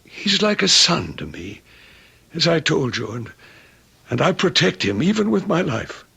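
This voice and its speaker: An actor playing an obsessively devoted ex-commando manservant, middle-aged, deferential and quietly menacing, in a radio mystery drama. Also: male voice, on the high side, big pitch swings, a little slow, creaky, breathy edge, full character voice. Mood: quiet devotion.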